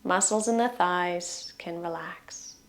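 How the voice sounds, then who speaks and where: A woman leading a guided relaxation in soothing, gentle short phrases that trail off softly at the ends. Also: on the low side, slow. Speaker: meditation guide.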